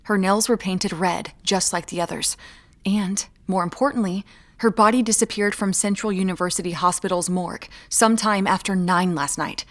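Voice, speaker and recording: An actress playing a brisk, guarded woman amateur detective in an audio drama, deadpan and curt. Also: mid-range voice, close to an everyday voice. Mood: dry, matter-of-fact.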